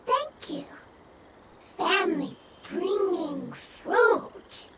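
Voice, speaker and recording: Tiny squeaky halting creature. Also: female voice, expressive, very noisy, full character voice. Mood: anxious, earnest. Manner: halting, fragmented short phrases. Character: small worried spirit creature speaking broken simple words. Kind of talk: video game character acting.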